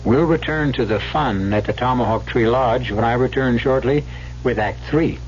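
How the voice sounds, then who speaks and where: A man hosting and narrating a radio suspense drama in a wry, measured scripted style. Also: mid-range voice, slight background noise. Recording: dull 1970s radio recording, music behind. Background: music.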